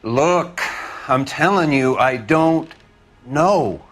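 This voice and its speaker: Slow-talking defensive husband, weary and bitter, game interrogation. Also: male voice, medium pitch, lively intonation, fairly bright tone, heavily acted. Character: weary bitter middle-aged husband under questioning. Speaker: murder suspect, victim's husband.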